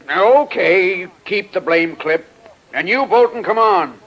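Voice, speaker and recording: High-voiced gruff country lawman. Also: animated, a little slow, a bit bright, full character voice. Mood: gruff, commanding. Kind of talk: 1940s radio drama acting. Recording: dull, faintly noisy old radio recording.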